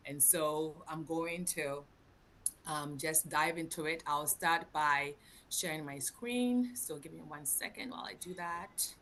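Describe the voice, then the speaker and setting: A woman in her forties from Ghana, a guest presenter speaking over a video call, lively and laughing often between fillers. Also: deep voice, unhurried, slight background noise.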